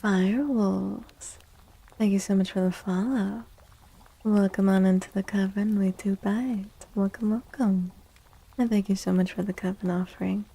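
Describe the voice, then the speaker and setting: A soft-spoken woman live streaming ASMR chat on a close microphone, gently greeting viewers, often repeating phrases and slipping into breathy whispers. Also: medium pitch, steady tone, measured pace, low-quality recording.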